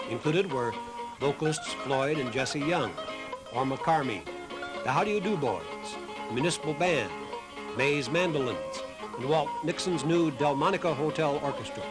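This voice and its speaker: A man's voice: on the high side, expressive, normal speed, music in the background, poor audio. A man reading scripted documentary narration as the narrator of a history program.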